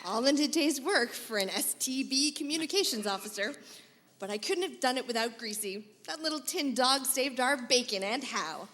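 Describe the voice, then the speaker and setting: A woman playing a plucky, quick-thinking young space adventurer in a pulp-serial radio comedy. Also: high-pitched, animated, a bit bright. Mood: confident. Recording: echoing live hall, faint noise.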